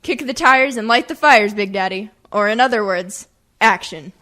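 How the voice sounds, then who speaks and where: A woman's voice: medium pitch, moderate pace, pushed and bright. A woman announcer delivering scripted introductions for radio comedy sketches in a theatrical presenting manner. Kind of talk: scripted radio show narration.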